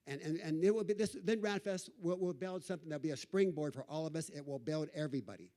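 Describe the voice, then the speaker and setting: Male conference speaker, slightly high voice, rallying people with urgent, repetitive, stop-start phrasing. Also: mellow. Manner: urgent, repetitive, halting and restarting. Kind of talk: impromptu motivational address.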